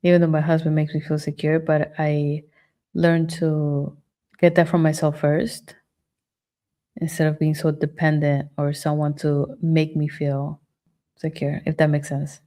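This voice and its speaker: Low, mellow-voiced woman. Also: little pitch movement, measured pace. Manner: reflective, candid. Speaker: podcast guest. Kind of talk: casual chat.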